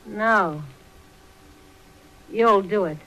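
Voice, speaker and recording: Bright, hard-boiled gangster's moll. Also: female voice, medium pitch, drawn-out words, exaggerated voice, poor audio. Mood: cold, commanding. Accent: American. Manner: slangy, clipped, bossy. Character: scheming, hard-boiled gangster's girlfriend, greedy and two-faced. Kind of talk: 1940s radio drama acting.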